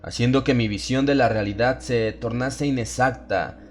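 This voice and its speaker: A man narrating a dramatized horror audiobook in an ominous, theatrical, measured way, drawing out his phrases. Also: medium pitch, background noise. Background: atmospheric music bed.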